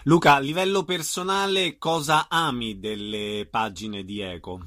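A man's voice: medium pitch, fairly even intonation, measured pace, bright, forceful tone. A man hosting a radio interview, putting conversational questions to his guest.

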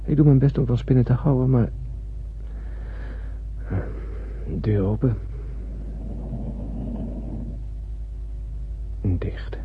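A man's voice: mid-range voice, brisk, natural voice. An actor playing a wondering, nervous spaceman who narrates a radio drama in a musing, theatrical way. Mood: nervous, hushed. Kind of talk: dramatic first-person radio play narration. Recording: narrow lo-fi old radio recording, faint noise.

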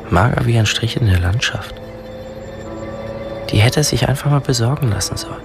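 A man's voice: medium pitch, natural voice. An actor playing a defiant sixteen-year-old schoolboy who tells his story in a dry, sarcastic, crude way in a radio drama. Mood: bitter, scornful. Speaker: lead actor and narrator. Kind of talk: German radio drama, first-person narration and dialogue.

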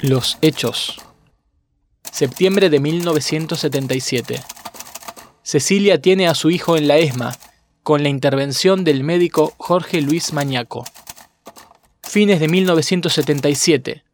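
A man's voice: fairly high pitch, unhurried, a little hiss or hum. A man reading scripted documentary narration as a podcast narrator.

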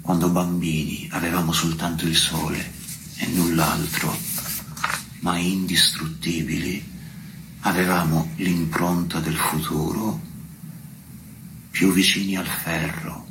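Very deep, slow man reciting poetry. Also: little pitch movement, noisy background. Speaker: poetry reader at a tribute recital.

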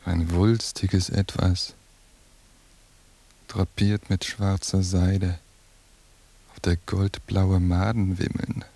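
An actor playing a cold, contemptuous surveillance watcher in a radio drama monologue, with a detached, deliberate delivery and a husky voice. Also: male voice, deep voice, full character voice. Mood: cold disgust. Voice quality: gravelly, dark, husky. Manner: slow, flat, detached, deliberate. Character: cold, contemptuous male surveillance watcher describing his target.

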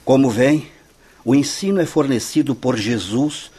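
A man's voice: medium pitch, unhurried, dark tone, breathy edge. An actor playing a dignified man who leads a spiritual assembly in a radio drama, addressing it formally and instructively. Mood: solemn, instructive.

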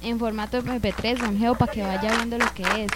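A lively young woman who adores modern pop, as a woman plays her in a homemade radio drama. She talks fast and insists on her point in a fairly high voice. Faint music sits behind her. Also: close to an everyday voice. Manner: chatty and insistent. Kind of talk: amateur radio drama acting.

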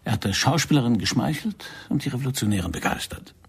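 An intense male secret agent for radio drama. His voice is very husky, with a gravelly creak. He is controlling and insistent, steering and probing with theatrical force. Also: mid-range voice, expressive, fast talker. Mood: cool, controlled.

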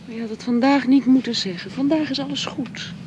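A woman playing a shy, gentle young woman being courted, speaking hesitantly and dreamily, often in a whisper, in a radio drama. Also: sits high, brisk, dull audio, a little hiss or hum, close to an everyday voice. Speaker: Marion.

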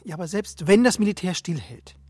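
A woman's voice: on the low side, lively intonation, fairly quick, a little mellow, slightly rough, no room sound. An actress playing a skeptical, practical woman in a group on the run in a radio crime play. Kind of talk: radio drama acting.

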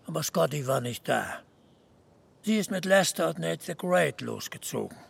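A laconic older man from a small town in a radio play. His voice is heavily gravelled and husky, set a little high. He speaks in a dry, understated way. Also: lively intonation, moderate pace, exaggerated voice. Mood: dry, calm. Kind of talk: naturalistic radio drama acting.

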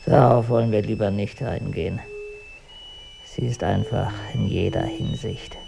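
Evasive husband, deep male voice, strong vocal fry, formal deadpan, stiff dignity, radio drama. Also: unhurried, over music. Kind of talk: German radio drama acting.

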